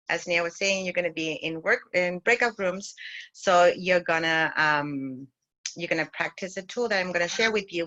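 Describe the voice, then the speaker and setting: Female facilitator, middle-aged, Chilean Spanish accent, forceful bright tone, searches for words, dull video-call sound. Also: lowish voice. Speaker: workshop facilitator, cooperative development consultant. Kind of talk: informal online presentation. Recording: muffled, lo-fi video call audio.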